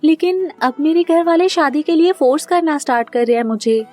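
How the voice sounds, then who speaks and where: A woman's voice: high-pitched, expressive, a little fast, mellow, soft background music, voice pushed up. A woman voicing an earnest, anxious young woman in love, emotional and pleading, in a cartoon family drama. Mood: worried, pleading.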